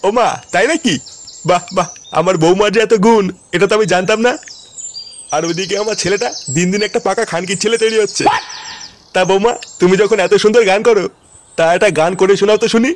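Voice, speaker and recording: A man's voice: high voice, steady tone, quick pace, lightly acted. A man with a West Bengali accent voicing a grumpy, foul-mouthed older father-in-law in a comedy cartoon. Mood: curious. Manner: coarse, exclamatory.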